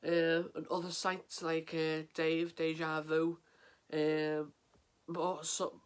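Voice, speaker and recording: An English man vlogging about mental health, talking in an earnest, halting way with lots of fillers and restarts, sniffly and stuffed up from a head cold. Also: a little high, completely flat delivery, clear, resonant tone. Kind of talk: casual to-camera vlog.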